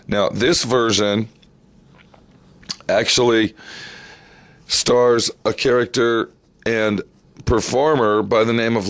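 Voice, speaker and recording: A man with a low voice hosts a vintage radio presentation. He speaks slowly and deliberately in an informal, unscripted way, leaning on words and repeating them for emphasis. The sound is close and dry. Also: clear, resonant tone, dry recording. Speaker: host introducing vintage radio programs. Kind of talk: informal, unscripted spoken introduction.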